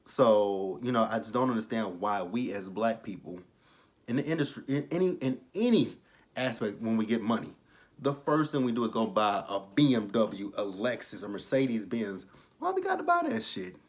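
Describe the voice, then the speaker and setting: A young man with a somewhat low voice recaps a reality TV episode in loose, casual talk. He is blunt and swears freely, and he drifts into preachy side rants. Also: big pitch swings, moderate pace. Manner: blunt, profane, rambling, preachy asides. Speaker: YouTube reality-TV reviewer.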